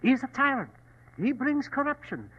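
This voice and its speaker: A man's voice: extremely high pitch, sing-song, normal speed, husky, exaggerated voice. An actor playing an earnest, humble elderly Chinese man, formal and pleading, in old-time radio drama style. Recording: dull vintage radio recording.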